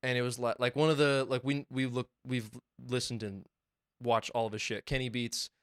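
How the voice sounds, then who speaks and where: A young man co-hosting a podcast, talking excitedly and swearing a lot as he rambles through stories about mixing music in his small home studio. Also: medium pitch, normal speed, clean tone, no echo. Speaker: podcast co-host and music producer. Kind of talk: casual chat.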